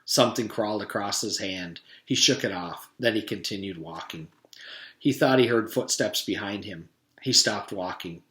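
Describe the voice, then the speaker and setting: A man's voice: lowish voice, moderate pace, fairly bright tone. A man, a teacher, reading a children's storybook aloud to his students. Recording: echoey room.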